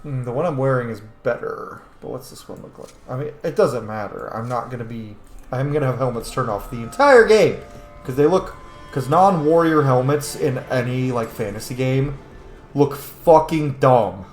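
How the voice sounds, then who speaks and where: A man's voice: mid-range voice, normal speed. A man giving casual, sweary commentary as he plays a video game. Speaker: gaming streamer. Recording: roomy echo, game music behind. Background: video game music.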